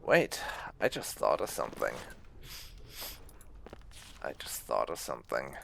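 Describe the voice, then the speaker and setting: Deep, lively male gaming commentator with some fry. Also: unhurried, a little hiss or hum. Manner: rambling, thinking aloud. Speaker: gaming video host. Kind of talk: casual playthrough commentary.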